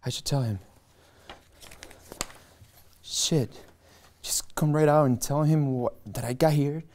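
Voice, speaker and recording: A man's voice: on the high side, slow, a little mellow. A man voicing a frightened young man framed for a murder, pleading and panicky, in a script table reading. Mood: panicked. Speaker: table read actor.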